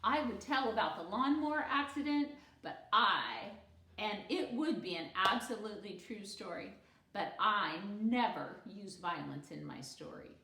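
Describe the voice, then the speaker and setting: A woman's voice: mid-range voice, expressive, measured pace, bright, forceful tone, echoey room. A woman voicing a confident, composed schoolgirl who holds court as a storyteller in a children's book, emphatic and poised. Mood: firm, assured.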